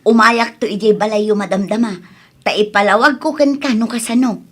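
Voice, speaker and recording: A woman's voice: fairly high pitch, moderate pace, fairly bright tone. A woman playing a pushy, boastful neighbour who coaxes a friend in an Ilocano radio comedy drama, with a Filipino Ilocano accent. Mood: coaxing, boastful.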